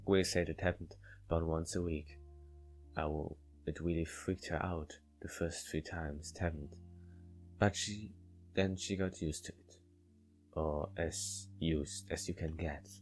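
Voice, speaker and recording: A man with a very low voice reads a visual novel aloud. He goes slowly and haltingly, tripping over words and correcting himself, with faint music playing behind him. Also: steady tone, darkish tone. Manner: halting, stumbling over words while reading. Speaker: game let's player reading aloud. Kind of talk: reading visual novel narration aloud with asides.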